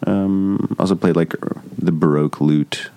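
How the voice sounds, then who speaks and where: Male podcast guest, American accent, low-pitched, dry close-mic recording, halting and understated in casual conversation. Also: fairly even intonation, mellow, dry recording. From Cleveland, Ohio, United States. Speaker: interview guest, actor.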